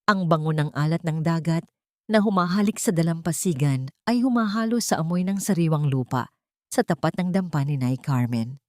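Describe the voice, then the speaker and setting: A woman telling a scripted drama story in a measured, earnest way. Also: sits low, mellow, no echo, clean studio sound.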